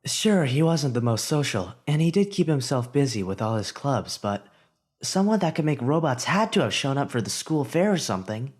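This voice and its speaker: A man's voice: mid-range voice, sing-song, normal speed, breathy edge. A man narrating a fan-made audiobook of a story, reading expressively and lifting his voice for the hero's inner thoughts.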